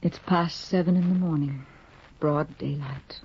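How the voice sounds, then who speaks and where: An actress playing a timid, anxious young woman in a 1950s radio drama, with a breathy, pleading, nervous delivery. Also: low voice, fairly even intonation, unhurried, full character voice. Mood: frantic. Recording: dull lo-fi 1950 radio recording.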